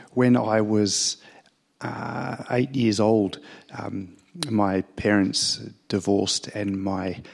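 A man's voice: fairly low pitch, gravelly. A man in his thirties, speaking hesitantly with many fillers as he shares his personal story on a church panel.

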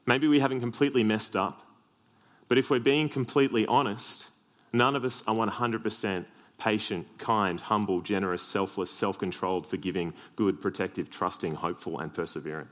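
Australian male preacher, deep low voice, earnest sermon delivery lightened by self-deprecating jokes. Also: steady tone, normal speed, a bit bright.

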